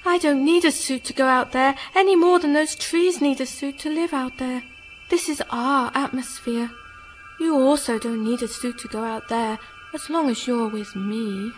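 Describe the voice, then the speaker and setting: A woman plays an ethereal, alluring woman of the moon in a radio drama. Her high voice is serene, gentle and coaxing, with music playing behind it. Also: moderate pace, lightly acted. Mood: gently coaxing.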